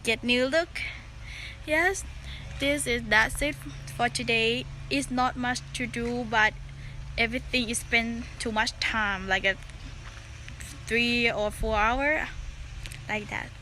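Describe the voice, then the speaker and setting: A woman who is not a native English speaker, talking casually to camera as a vlogger in simple, halting phrases. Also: on the high side, steady tone, pushed and bright, a little hiss or hum, rough recording. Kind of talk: casual vlog talk to camera.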